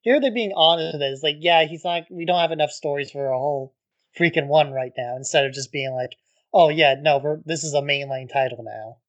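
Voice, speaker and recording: Male podcast co-host, a touch higher-pitched, laid-back, filler-heavy conversational delivery. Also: a little fast, lo-fi sound. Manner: relaxed, frequent "like" fillers. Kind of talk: casual chat.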